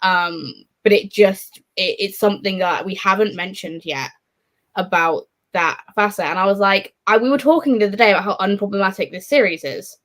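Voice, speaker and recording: A woman's voice: mid-range voice, moderate pace, a bit bright. A woman in a casual book discussion, speaking informally and reflectively with plenty of swearing. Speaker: participant in a live book readalong discussion. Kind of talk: casual chat about books.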